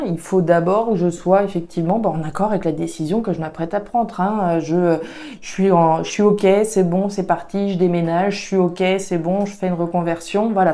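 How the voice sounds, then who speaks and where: Mellow-voiced woman reading oracle cards. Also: on the low side, fairly even intonation, moderate pace. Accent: regional French accent. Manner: thinks aloud, many fillers and restarts, frequent "hein". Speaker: tarot and oracle card reader. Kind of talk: casual spoken card reading to viewers.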